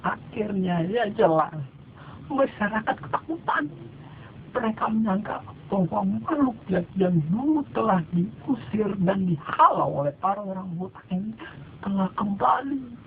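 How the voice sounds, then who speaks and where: A man's voice: high-pitched, lively intonation, unhurried. A man narrating a satirical fable in an ironic, mock-solemn storytelling manner, with dry asides. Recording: tinny lo-fi phone-like sound with faint noise.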